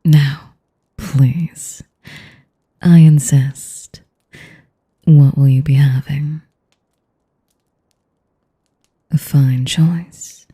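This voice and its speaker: Vampire noblewoman, played by a woman for audio roleplay: sadistic, seductive, formal, dark velvety low register, hushed breathy delivery, dry close sound. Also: steady tone, no room sound, exaggerated voice. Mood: courtly, insistent. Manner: slow, formal, teasing, savoring each phrase. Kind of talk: intimate audio roleplay addressed to the listener.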